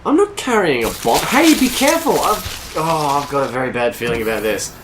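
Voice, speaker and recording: Man playing an animated, wisecracking space pilot. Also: mid-range voice, fairly quick, noisy background, lightly acted. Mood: alarmed. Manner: expressive, wisecracking. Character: wary, wisecracking space pilot, bossy but jittery. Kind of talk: scripted audio drama acting.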